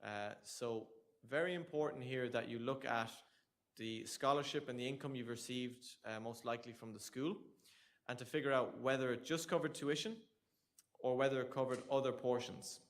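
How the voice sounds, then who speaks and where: A man's voice: mid-range voice, normal speed. A young man with an Irish accent, presenting a tax information session to a room of students in a conversational, joking way. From Ireland. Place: lecture room with an audience.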